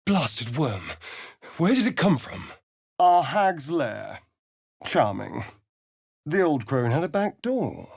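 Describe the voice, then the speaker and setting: A man's voice: fairly high pitch, very animated, takes it slowly, creaky, long pauses, husky, no echo, studio quality, heavily acted. A man with a British accent playing a sly, vain vampire spawn rogue in a video game, with an arch, sardonic, theatrical delivery. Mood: theatrical, playful.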